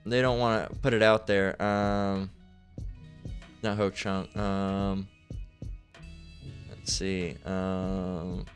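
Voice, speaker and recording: A man reading verses aloud and commenting on them in a loose, profane way. He trips over hard words and thinks out loud while he searches for things. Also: low voice, level tone, drawn-out words, long pauses, soft background music. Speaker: online religious commentator. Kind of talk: casual scripture reading and commentary.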